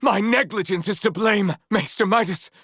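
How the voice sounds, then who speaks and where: A man's voice: sits high, moderate pace, a bit bright, dry recording, studio quality. A man playing a confident, proud naval commander in a video game, speaking in formal, heroic phrasing. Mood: alarmed, distressed. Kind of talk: video game character battle lines.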